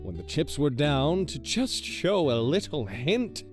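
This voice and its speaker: Pompous, swooping theatrical hero. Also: male voice, a little high, sing-song, a little slow. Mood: smug, scolding. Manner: grandiose, condescending, self-important. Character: pompous, vain, theatrical male town protector. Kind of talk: video game character acting. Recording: music behind the voice.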